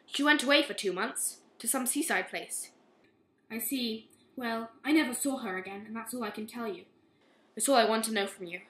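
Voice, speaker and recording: A high, bright-voiced, relentless police inspector played by a woman in an amateur radio play. The questions are measured and morally accusing, and the room echoes around them. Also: natural voice. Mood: stern, probing. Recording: roomy, echoing amateur recording.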